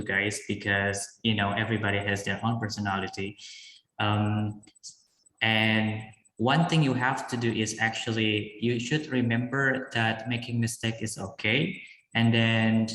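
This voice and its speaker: A man's voice: mid-range voice, fairly bright tone. Indonesian man in an echoey room. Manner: halting, frequent fillers and restarts. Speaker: guest speaker answering questions. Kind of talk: live online class Q&A.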